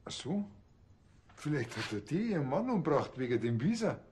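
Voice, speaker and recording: Give a TV comedy policeman, blunt and cheeky, with a Bavarian accent. His voice sits fairly high and swings up and down. His wording is colloquial, and faint noise sits behind him. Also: big pitch swings, moderate pace, darkish tone. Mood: speculating.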